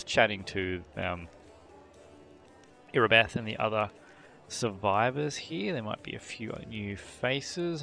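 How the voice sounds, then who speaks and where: Gravelly low-voiced gaming host. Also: male voice, fairly bright tone. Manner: thinking aloud with many fillers; clears throat often. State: voice a bit worn from a long previous session. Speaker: gameplay channel host. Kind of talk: casual gameplay commentary.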